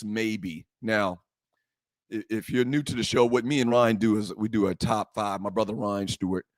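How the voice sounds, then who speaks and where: Fervent, emphatic sports talk host. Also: male voice, mid-range voice, no echo, studio quality. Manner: fervent, emphatic, repeats phrases, rises to shouting. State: slightly hoarse, voice worn from shouting. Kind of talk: impassioned sports talk monologue.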